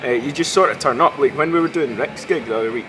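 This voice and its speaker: Laid-back student comedian from Glasgow. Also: male voice, medium pitch, fast. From Scotland (Glasgow). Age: young adult. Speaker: stand-up comedian, university student. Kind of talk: casual interview chat. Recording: street noise outdoors.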